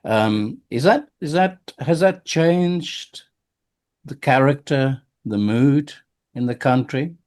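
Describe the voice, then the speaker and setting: A man's voice: medium pitch, takes it slowly, darkish tone. A man asking conversational interview questions as a podcast host, building them up piece by piece.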